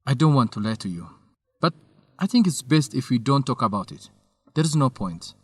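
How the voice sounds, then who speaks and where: A man's voice: mid-range voice, big pitch swings, normal speed, slightly rough, dry recording. An actor playing an earnest, loyal and shy young male researcher, a little hesitant, in an educational radio drama. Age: young adult.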